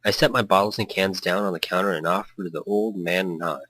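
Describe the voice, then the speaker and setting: Very low-voiced man, dry close sound. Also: fairly even intonation, brisk, a bit bright, no room sound. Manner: hyped, tangent-prone. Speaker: let's player reading a visual novel aloud. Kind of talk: narration mixed with casual rambling commentary.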